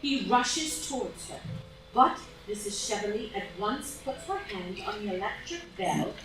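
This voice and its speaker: A woman's voice: medium pitch, animated, a little slow. A woman narrator reading stage directions dramatically, recorded from a distance in a very echoey room. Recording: very echoey room, distant mic, music behind.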